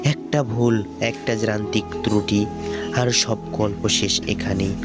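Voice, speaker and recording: A man's voice: on the low side, steady tone, measured pace. Bangladeshi man narrating over music. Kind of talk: scripted cartoon story narration. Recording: music under the voice. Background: background music.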